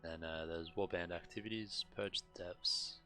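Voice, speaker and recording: A man with a very low, flat delivery talks through a video game for his stream. He sounds matter-of-fact and a little halting, with a crisp, forceful edge to his tone and music playing behind him. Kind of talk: casual gameplay commentary, reading on-screen game text aloud.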